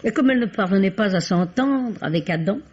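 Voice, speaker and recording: An actress playing a learned, drily teasing sidekick to a police commissioner in an old radio mystery. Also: female voice, mid-range voice, lively intonation, a little fast, a little mellow, husky. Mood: teasing, lecturing. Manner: drily teasing, erudite, superior. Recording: dull vintage radio drama recording.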